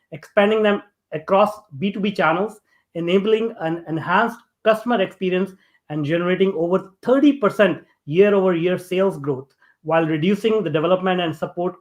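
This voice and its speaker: High-voiced male podcast host. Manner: reads a long formal introduction, then asks questions casually with fillers. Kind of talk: reading a guest introduction, then interview chat.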